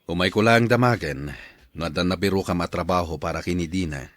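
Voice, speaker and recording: A man's voice: low voice, brisk, fairly bright tone, no room sound. A man playing a stern, principled elder brother in an Ilocano radio drama. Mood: grave, reproving.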